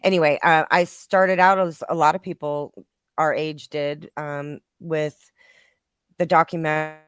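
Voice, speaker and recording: Middle-aged woman, unhurried slow delivery, clear voice, casual guest. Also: low voice, level tone, no echo, studio quality. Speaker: podcast guest. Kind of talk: casual chat. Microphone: laptop on an online video call.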